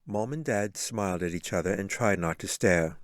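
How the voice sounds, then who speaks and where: Low-voiced man narrating a story. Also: moderate pace, dry recording, clean studio sound. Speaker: author reading own audiobook. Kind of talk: scripted fantasy story narration.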